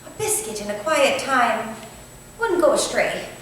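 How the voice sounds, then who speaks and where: A woman's voice: fairly high pitch, big pitch swings, measured pace, a bit bright. A woman playing a kindly, deferential young Victorian housemaid, chatting in a homely way in a staged play reading. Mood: chatty, deferential. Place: indoor theatre space, staged reading. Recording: very echoey hall, distant mic, faint noise.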